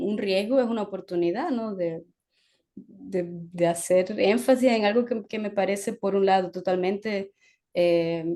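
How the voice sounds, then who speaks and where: A woman novelist from Venezuela talking through her ideas in a relaxed discussion, full of restarts and tag questions. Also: medium pitch. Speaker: novelist guest. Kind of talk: conversational discussion.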